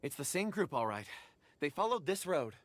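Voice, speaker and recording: An actor playing a polite, eager young scholar in a video game, cheerful and courteous. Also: male voice, a little high, moderate pace, music in the background. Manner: polite and cheerful, lively intonation.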